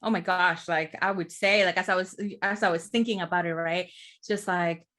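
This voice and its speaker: A woman's voice: on the low side, fairly even intonation, normal speed, bright, forceful tone. A woman from El Salvador, a therapist, talking as a podcast guest in a reflective, explanatory way, often checking in with "right?". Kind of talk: casual podcast conversation.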